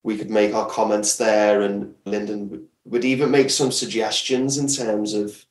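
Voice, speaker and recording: A man's voice: lowish voice, flat delivery, moderate pace. A man talking casually as a producer being interviewed on a podcast. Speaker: podcast guest, audio drama producer. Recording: echoey room, distant from mic.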